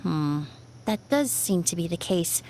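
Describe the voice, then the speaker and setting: A woman playing a composed, thoughtful young perfumer in a video game, speaking in a measured, precise, calm way. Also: on the low side, slight background noise. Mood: pensive.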